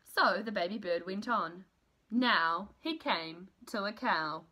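A woman in her thirties reading a children's storybook aloud in an expressive, deliberate, emphatic way. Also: medium pitch, a little hiss or hum. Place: small room at home, indoors.

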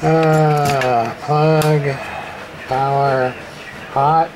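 A man giving a casual hands-on repair demonstration, thinking aloud in a hesitant, halting way as he goes. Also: on the high side, flat delivery. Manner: hesitant, halting, muttering to himself. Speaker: hobbyist radio repair presenter. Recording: clear background room noise.

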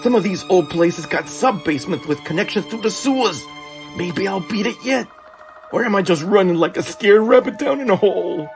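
A man's voice: sits high, lively intonation, moderate pace, faint music behind. A man doing a desperate street-tough fugitive. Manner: tough street slang, frantic. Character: desperate young male fugitive on the run.

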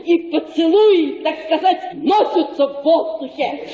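A woman's voice: very high voice, measured pace, warm tone. An actress playing a scheming, gushing provincial lady and matchmaking mother in a declamatory radio play style. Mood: rapturous. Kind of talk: Russian radio play acting. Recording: echoey room, dull muffled sound.

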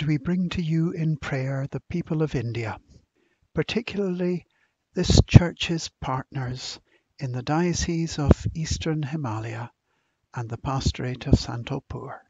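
Slow, slightly husky man leading prayer. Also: on the high side, darkish tone, no room sound, muffled recording. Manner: solemn, measured phrasing. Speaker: prayer leader. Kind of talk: scripted spoken prayer.

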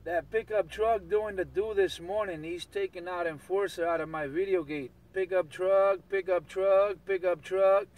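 A man's voice: sits high, fairly even intonation, normal speed, hardly any pauses, a little mellow. A man in casual, slangy CB radio banter, repeating catchphrases over and over. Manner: repetitive chanting of catchphrases, slangy. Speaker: CB radio operator. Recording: distant CB radio transmission, outdoor vehicle sound.

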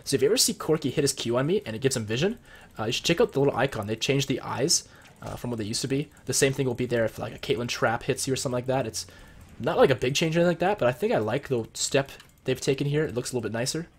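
A young man with a cold, sounding a bit husky and deeper, casually explaining strategy as he plays and comments on a video game at his computer. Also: animated, very fast. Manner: chatty, explains strategy while playing. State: sick with a cold. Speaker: gameplay commentator. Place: home room at his computer.

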